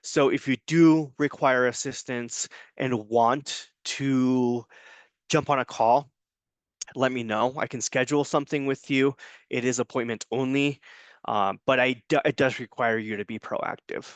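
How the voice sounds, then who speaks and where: An adjunct professor, a man, giving a loose and hesitant spoken introduction to his course, filling pauses with ums and restarting his sentences. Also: medium pitch, fairly even intonation, dry recording. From United States.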